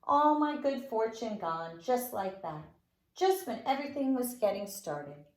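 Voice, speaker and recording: A woman voicing an excitable male junk shop owner from a storybook, exclamatory and full of wonder. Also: a little high, a little slow. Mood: dejected. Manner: exclamatory, dramatic. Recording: roomy, echoing room sound.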